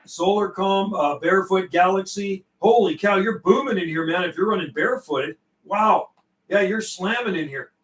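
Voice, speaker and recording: A man's voice: sits high, normal speed, fairly bright tone. A man hosting a CB radio livestream, chatting casually in slang and repeating and relaying messages between operators. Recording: roomy, distant microphone.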